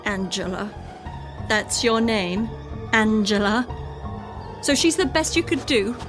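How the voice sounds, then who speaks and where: High-voiced woman, bitter unhinged wife, sarcastic, volatile, radio drama. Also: expressive, takes it slowly, music in the background. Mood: scornful. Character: bitter, scornful, unhinged wife from another dimension.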